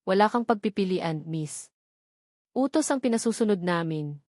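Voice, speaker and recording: A woman's voice: mid-range voice, expressive, moderate pace, a little mellow, dry recording, natural voice. Menacing henchman, read by a female narrator, commanding and cold, audio drama, close dry sound. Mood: cold menace. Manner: threatening, commanding.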